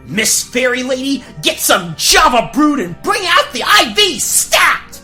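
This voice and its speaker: A woman's voice: sits high, big pitch swings, unhurried, sharp tone, soft background music, voice pushed up, exaggerated voice. A woman voicing a cowardly, easily flustered young prince in a gag-dub cartoon radio play, stammering and breaking into indignant outbursts.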